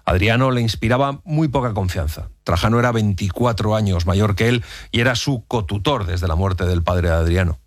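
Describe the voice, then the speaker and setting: Low-voiced man narrating a documentary. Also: normal speed, no echo, clean studio sound. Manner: even, authoritative storytelling. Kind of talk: scripted historical narration. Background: faint music.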